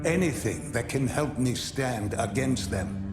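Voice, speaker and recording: A man playing an earnest, resolute older archmage in a video game cinematic, with grave, measured, theatrical delivery. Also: mid-range voice, lively intonation, natural voice. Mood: earnest, wary. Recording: loud music under the voice.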